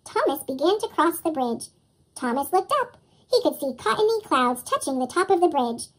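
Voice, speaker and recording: Storybook reader, female, squeaky chipmunk-shifted voice, very high, lively and expressive delivery for young children. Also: moderate pace. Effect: sped-up chipmunk pitch shift. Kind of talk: expressive children's read-aloud narration.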